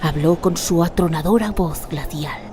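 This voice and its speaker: A woman's voice: lowish voice, moderate pace, background noise. A woman narrating a fantasy radio drama in a dramatic, scripted storytelling style. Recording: music behind the narration.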